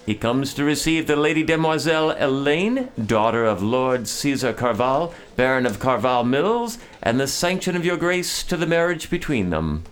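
An actor playing a loyal, courtly knight in an audio drama, formal and dry in manner. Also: male voice, mid-range voice, animated, normal speed, few pauses, close to an everyday voice. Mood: ceremonial, proud. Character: loyal knight, courtly male cousin to a lord.

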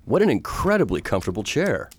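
Male actor for earnest middle-aged galactic patrolman, lower register, courteous, radio drama. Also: wildly swooping pitch, fast, breathy edge, exaggerated voice. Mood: polite, wondering. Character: earnest middle-aged space lawman, courteous, awed explorer. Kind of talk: science fiction radio drama acting.

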